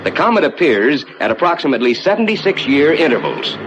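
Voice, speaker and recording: An actor playing a calm, reassuring rocket ship captain who explains the flight to passengers in measured, courteous 1950s ride narration. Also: male voice, a little high, lo-fi sound. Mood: composed, instructive. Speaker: spaceship captain and tour narrator. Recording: noisy vintage 1950s ride soundtrack.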